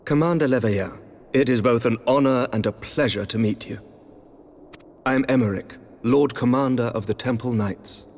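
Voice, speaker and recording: An actor playing a dignified, courteous knight commander in a video game, speaking formally and resolutely. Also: male voice, medium pitch, moderate pace, a little mellow, slight background noise, lightly acted. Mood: courteous, composed. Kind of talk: scripted video game cutscene dialogue. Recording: orchestral game music behind the voice.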